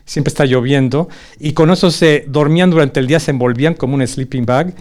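A man's voice: a little high, brisk, a little mellow. A man from Mexico, director of a bagpipe band, explaining patiently as a guest. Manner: patient and informative, with fillers.

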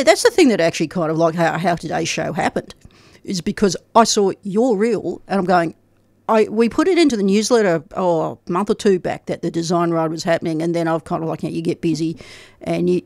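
A man's voice: high voice, animated, a little fast, vocal fry, darkish tone, no room sound. A middle-aged man hosting a talk show who rambles and restarts his sentences. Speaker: show host. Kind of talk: casual interview chat.

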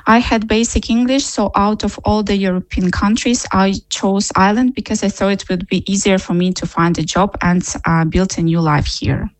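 A woman's voice: mid-range voice, fairly even intonation, normal speed, few pauses, no echo, rough recording. Ukrainian-accented woman, dry close sound. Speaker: tourism manager being interviewed. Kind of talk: prepared interview answers.

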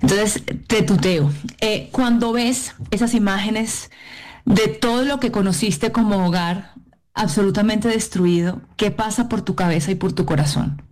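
A woman from Venezuela and Colombia conducts an interview in a conversational way. Her questions flow easily, and she quickens when explaining herself. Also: mid-range voice, darkish tone, rough recording.

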